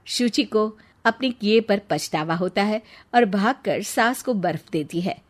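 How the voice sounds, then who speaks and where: A woman's voice: mid-range voice, animated, measured pace. A woman reading a scripted cartoon story as its narrator.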